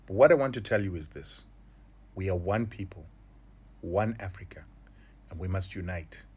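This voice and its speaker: Assertive male commentator, deep and husky. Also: a little mellow. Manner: proud, assertive. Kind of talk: opinion commentary.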